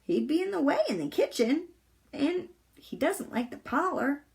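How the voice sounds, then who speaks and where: A woman's voice: sits high, big pitch swings, moderate pace, vocal fry, voice pushed up, heavily acted. A woman voicing a cheerful, bold, eccentric young girl from a storybook, boastful and playfully emphatic. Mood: matter-of-fact. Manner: playful, emphatic, matter-of-fact tall-tale telling.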